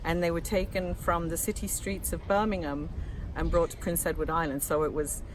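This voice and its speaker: English woman with a blended regional accent, low register, poised and knowledgeable; outdoor recording with clear traffic noise behind. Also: fairly even intonation, normal speed. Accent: mixed English, from Lancashire and moving around. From England. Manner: articulate, informative, laughs easily. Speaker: passer-by interviewed, author. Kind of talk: casual street interview. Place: outdoors in the shade beside a historic building.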